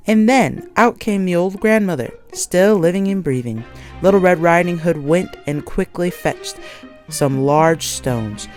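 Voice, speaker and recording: A woman narrating a scripted children's story as an expressive read-along storyteller. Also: low-pitched. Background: soft music.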